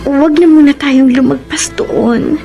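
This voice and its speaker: Radio drama, young Filipino escort: high register, slow delivery, tender, hesitant, guarded. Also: female voice, fairly even intonation, creaky. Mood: gently guarded. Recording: music under the voice.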